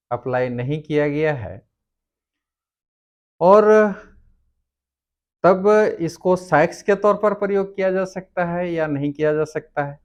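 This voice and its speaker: A man giving a formal explanatory lecture on law, speaking deliberately in short, halting phrases as a presenter. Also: a little high, smooth.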